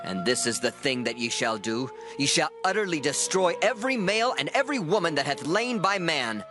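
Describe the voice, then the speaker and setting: High, swooping ancient Israelite man. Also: big pitch swings, a little fast, music in the background. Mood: stern commanding. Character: solemn, beseeching Israelite man of ancient times. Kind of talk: dramatized scripture acting.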